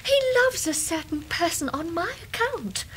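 An actress playing a gentle, anxious, submissive young mother in a radio drama, with a timid, pleading manner. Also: very high-pitched, big pitch swings, normal speed, a little hiss or hum, heavily acted, poor audio. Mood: flustered reproach. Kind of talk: 1990s radio drama acting.

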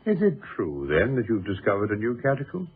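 A man acting in a radio drama as an eager, inquisitive gentleman who presses his colleague briskly and impatiently, often repeating himself. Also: fairly low pitch, huge pitch swings. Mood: impressed. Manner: brisk, pressing, repeats words, hearty old-fashioned speech. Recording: muffled lo-fi old radio recording.

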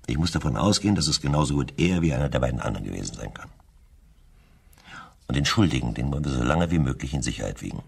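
Gravelly, very deep chief inspector. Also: male voice, animated, heavily acted. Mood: wry, deliberate. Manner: deliberate, questioning, wry. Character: shrewd, probing male police chief inspector. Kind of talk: German radio crime drama acting.

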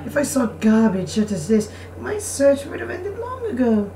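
A woman's voice: fairly high pitch, very animated, moderate pace, dark tone, roomy sound, pitched above the performer's own voice. A woman voicing a formal, haughty young noble swordswoman from a visual novel game. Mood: disdainful. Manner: formal, prim, earnest. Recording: distant mic, faint background noise.

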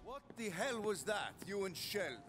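Loud music plays around a thirty-something male rebel agent from an action game. He is cool and sardonic, dry and clipped, yet his pitch moves a lot. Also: sing-song, normal speed. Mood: challenging. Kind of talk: video game cutscene acting.

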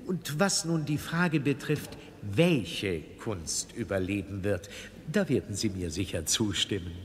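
A smug political boss delivering a menacing speech in a radio play. He declaims slowly with big swings of pitch and weighty pauses, his words ringing through a noisy hall. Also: animated. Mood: smug, veiled threat. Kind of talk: German radio drama, public speech. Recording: long hall reverb with noisy ambience.

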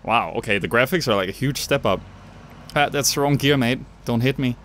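A man's voice: mid-range voice, fairly quick, a bit bright, slight background noise. Jokey Danish man commenting on a game. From Denmark. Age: young adult. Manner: jokey, chatty, self-mocking asides. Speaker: gaming YouTuber. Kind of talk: let's play commentary. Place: home gaming setup, indoors.

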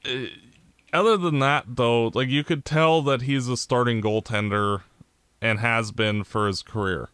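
A Canadian man co-hosting a podcast, talking through the game in a measured, analytical way. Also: mid-range voice, a bit bright, clean tone, no room sound. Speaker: podcast co-host. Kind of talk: casual sports chat.

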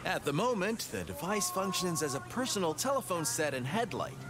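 A man voicing an eager, chummy robot salesman in a video game, with a persuasive pitchman's manner. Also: sing-song, brisk, over music. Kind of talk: scripted video game acting.

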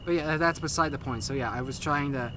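A young man who stutters, giving a casual update to camera in a halting way, repeating and restarting his phrases. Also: on the high side, noisy background. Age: young adult. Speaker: video maker giving a channel update. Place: outdoors at night on a paved path.

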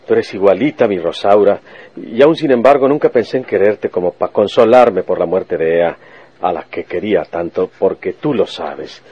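An actor playing a stubborn, vengeful rural Mexican detective in a radio serial, with earnest, folksy country speech. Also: male voice, deep voice, expressive, normal speed, warm tone, slightly rough, muffled recording. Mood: sorrowful, earnest. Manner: folksy country speech full of rustic colloquialisms. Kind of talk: Spanish-language radio serial acting.

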